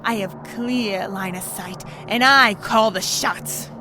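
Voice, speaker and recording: A woman playing a scheming, menacing pony villain in an audio drama, taunting in a theatrical, drawn-out way. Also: mid-range voice, noisy background, natural voice. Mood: domineering.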